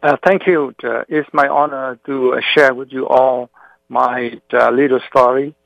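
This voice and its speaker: A middle-aged man from South Vietnam, a native Vietnamese speaker, telling his life story as an interview guest, pausing often with "uh". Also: mid-range voice, fairly even intonation, gravelly, a bit bright, no room sound, lo-fi sound. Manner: frequent "uh" fillers, halting.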